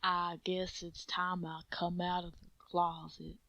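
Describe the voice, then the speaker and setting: Woman as big earnest farm pony stallion, slow, deliberate, folksy, bright tone, storybook reading. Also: on the low side, level tone, creaky, no room sound, lo-fi sound, heavily acted. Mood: earnest confession.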